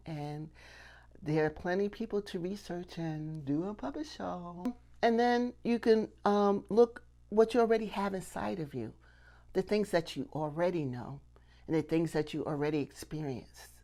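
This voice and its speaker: Female, middle-aged, lower register. Teacher-host delivering instructions to camera, stressing and repeating key points. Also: normal speed, dark tone. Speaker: puppetry teacher and video host.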